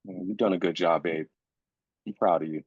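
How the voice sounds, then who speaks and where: Man reading as husky, low, grieving husband. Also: fairly even intonation, normal speed, no echo. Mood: subdued, supportive. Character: grieving, weary husband and father, insistent yet supportive. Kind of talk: live staged screenplay reading. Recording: dull, lo-fi sound.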